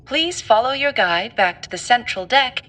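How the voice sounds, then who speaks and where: A woman's voice: fairly high pitch, expressive, normal speed, fairly bright tone. A woman playing a polite space station announcement system in a video game, formal in her delivery. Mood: polite, impersonal. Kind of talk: scripted public announcement in a game.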